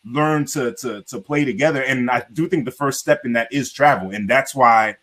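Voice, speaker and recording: A man's voice: mid-range voice, normal speed, a bit bright. A man with an American accent hosting a casual online panel, talking freely with lots of fillers. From grew up in the United States. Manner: chatty, filler-heavy, emphatic. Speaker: panel host.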